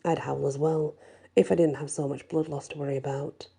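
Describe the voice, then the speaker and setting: A woman reads a fantasy tale in a very dark, mellow voice with a breathy husk. Her tone sits low and creaks into fry at times. She tells it softly and expressively, ASMR-style. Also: level tone, brisk. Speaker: storyteller reading a fantasy audiobook. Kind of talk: ASMR-style first-person dramatic story narration.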